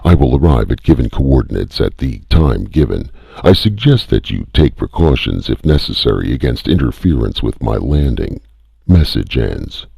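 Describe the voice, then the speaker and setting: A man doing a calm, dignified ship's doctor. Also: a bass voice, normal speed, gravelly, husky, no echo, full character voice. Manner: careful, reflective.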